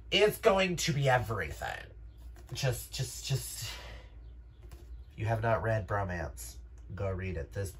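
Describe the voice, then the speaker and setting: A man's voice: lowish voice, slightly rough. A man chatting casually to viewers as a book vlogger, gushing and emphatic, with casual swearing. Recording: noisy room background.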